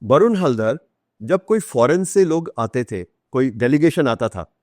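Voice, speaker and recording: A man's voice: medium pitch, expressive, unhurried, darkish tone, no room sound, clean studio sound. A man with an Indian accent tells stories in an interview, switching between Hindi and English with emphatic repetition. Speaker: author, podcast guest. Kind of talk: conversational storytelling in an interview.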